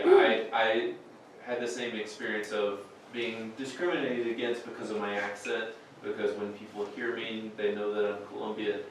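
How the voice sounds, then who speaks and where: Measured male interpreter in an echoey room. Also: medium pitch. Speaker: voice-over interpreter. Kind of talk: translated voice-over. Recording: very echoey, distant, faint noise.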